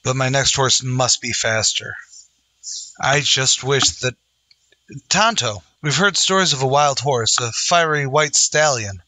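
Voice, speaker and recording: A noble, resolute masked hero of an old-time radio western, played by a man. His voice is bright and forceful, at a middle pitch. He speaks in earnest declarations. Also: a little slow, dry recording, rough recording. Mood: solemn, wistful.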